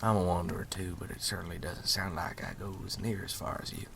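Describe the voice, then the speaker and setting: A man playing a folksy, hospitable wandering story collector in an ASMR roleplay, drawling in a breathy half-whisper. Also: sits low, fairly even intonation, fast talker, noisy background. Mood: wry, easygoing.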